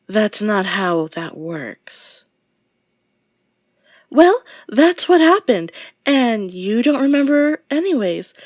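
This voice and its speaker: Unhinged possessive female captor, played by a voice actress, deliberate and husky, menacing, dry close sound, audio drama. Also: no echo, full character voice. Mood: defensive, insistent. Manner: swings between insistent outbursts and low menacing murmurs. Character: obsessive, menacing woman kidnapper, possessive and unhinged. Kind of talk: dramatic audio roleplay monologue.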